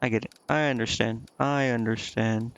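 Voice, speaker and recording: A man's voice: medium pitch, fairly even intonation, moderate pace, clean tone. A man livestreaming a game, thinking aloud in a casual, muttering way as he puzzles things out. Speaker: livestreamer playing a strategy role-playing game. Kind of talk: casual gaming stream commentary, thinking aloud. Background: game music.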